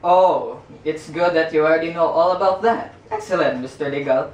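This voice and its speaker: A man's voice: fairly high pitch, moderate pace, dull audio, close to an everyday voice. A young man with a Filipino accent playing a strict history teacher in an amateur puppet show, reciting formally. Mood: formal, stern. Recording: echoey room, distant microphone.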